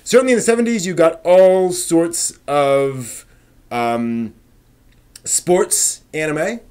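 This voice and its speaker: A man's voice: on the high side, takes it slowly, darkish tone, clear, resonant tone. A man hosting a live stream, chatting casually with viewers in an informal, rambling way as he thinks aloud.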